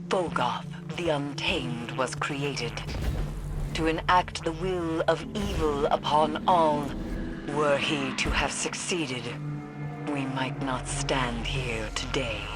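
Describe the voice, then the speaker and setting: A woman playing a haunted, solemn mystic guide in a video game, speaking in an ominous, theatrical, breathy way. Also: low-pitched, over loud music. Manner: slow, ominous, theatrical, deliberate. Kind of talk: dramatic video game dialogue.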